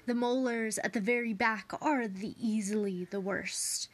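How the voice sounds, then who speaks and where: Lady reading as a smug crocodile, slow and pushy, storybook style. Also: a little high, fairly even intonation. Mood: demanding. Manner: smug, demanding. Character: cunning, grinning male crocodile at the dentist.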